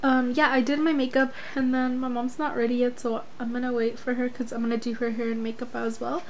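A woman's voice: fairly high pitch, little pitch movement, brisk, a little mellow. A young American woman vlogging in a casual, rambling way, talking into a handheld camera at home. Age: young adult. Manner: informal, rambling, frequent "like". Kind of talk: casual chatty vlog. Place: small rooms at home, closet and bedroom. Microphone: handheld camera at arm's length. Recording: dull, noisy handheld camera audio.

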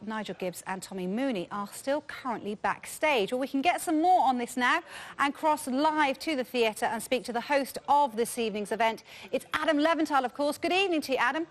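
A female news presenter reads breaking news in a brisk, formal way. Her voice sits a little high. She paces it like a live bulletin. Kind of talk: scripted news reading.

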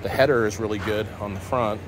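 A man's voice: lowish voice, steady tone, fairly quick. A middle-aged American man giving casual, dryly humorous commentary while working hands-on outdoors. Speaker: host of a car repair channel. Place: outdoors beside a car. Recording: clearly noisy outdoor recording.

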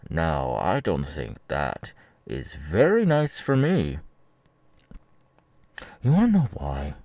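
A man voicing a menacing, mocking ex-convict kidnapper in an ASMR audio roleplay, with a halting, sneering drawl. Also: very deep voice, huge pitch swings, a little mellow, no room sound, muffled recording, exaggerated voice. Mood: smug, threatening.

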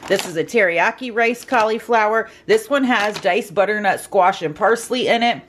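A woman's voice: medium pitch, normal speed, slight background noise. A young woman with an upbeat, chatty voice-over, walking through her weekly meal prep in a home kitchen. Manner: upbeat, chatty, lists macros and steps. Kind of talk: casual cooking voice-over.

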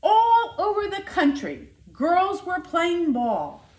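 A middle-aged woman reads a picture book to children in a high voice that swings up and down. She takes her time and leans on the important words. The sound is echoey, as in a living room. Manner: expressive, deliberate storytelling with emphasized words. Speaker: school nurse reading to children. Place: indoor living room by a fireplace.

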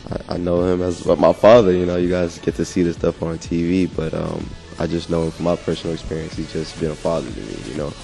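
A young man from the United States giving relaxed, easy interview answers into a handheld mic indoors. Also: low-pitched, fast talker, creaky, hardly any pauses, soft background music, poor audio. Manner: laid-back, laughs easily, warm-hearted talk. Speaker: interviewee, coach's son. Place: indoor room. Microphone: handheld mic held in front.

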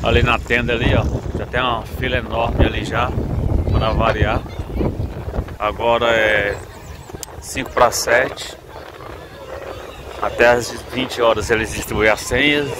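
A man's voice: mid-range voice, a little slow, pauses often, heavy background noise. A man in his thirties casually narrating a walking vlog on a phone outdoors at an evening event. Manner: relaxed, chatty narration while walking. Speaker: vlogger. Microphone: phone held by hand. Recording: outdoor phone audio, loud music and crowd. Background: crowd chatter and loud music.

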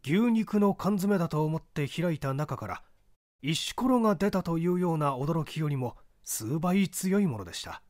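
A man's voice: fairly high pitch, animated, normal speed, a little mellow, dry recording, voice pushed up, close to an everyday voice. A male reader puts on a burly Buddhist head priest from an old mystery tale. He speaks formally and solemnly, with an admonishing, old-fashioned turn. The sound is very dry, with no room around it. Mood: sympathetic, relieved.

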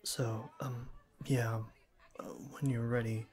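Guy acting as shy awkward college boy, slow n halting, wordy formal talk, asmr roleplay. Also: mid-range voice, flat delivery, darkish tone, natural voice. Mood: nervous, formal. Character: shy, earnest, cool-mannered young male college student.

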